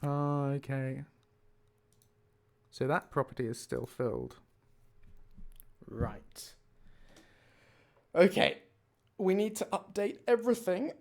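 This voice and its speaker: Creaky-voiced man thinking aloud on a livestream. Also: a little high, lively intonation, takes it slowly, pauses often, darkish tone. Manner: thinking aloud, muttering to himself. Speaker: game mod developer and livestream host. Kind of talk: casual livestream talk while troubleshooting.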